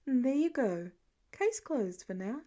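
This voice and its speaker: A woman's voice: high voice, sing-song, slow pace, warm tone, heavily acted. A woman voicing an encouraging, affectionate wife and mother in an audiobook story, eager and supportive.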